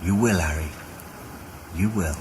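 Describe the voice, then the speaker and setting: An actor playing a loyal, grave soldier comrade in a radio play. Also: male voice, low-pitched, measured pace, darkish tone, a little hiss or hum. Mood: grave, dry.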